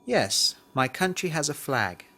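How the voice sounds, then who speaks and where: A man's voice: on the high side, very animated, measured pace, pushed and bright. A man reading a scripted language lesson, carefully enunciating model words and sentences. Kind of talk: scripted language drill, read aloud.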